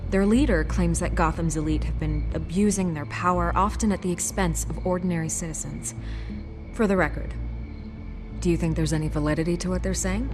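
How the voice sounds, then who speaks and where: A confident female investigative reporter, acted by a woman for a video game. Her voice sits on the low side, wry and pointed, skeptical and ready to challenge. Music is prominent behind her. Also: steady tone, brisk, lightly acted. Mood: wry, probing.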